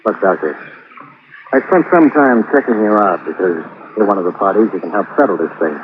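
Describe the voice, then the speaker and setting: An actor playing a tough, plainspoken, shrewd investigator in a hardboiled vintage radio drama. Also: male voice, lowish voice, expressive, fairly quick, close to an everyday voice. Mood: firm, persuasive. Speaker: lead investigator and narrator. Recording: noisy old radio recording, narrow band.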